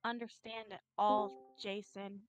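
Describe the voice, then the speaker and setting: A woman voicing a depressed, self-effacing teenage schoolgirl in a slow, sorrowful staged reading of a visual novel. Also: medium pitch, level tone, over music, lightly acted. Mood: hurt, pleading.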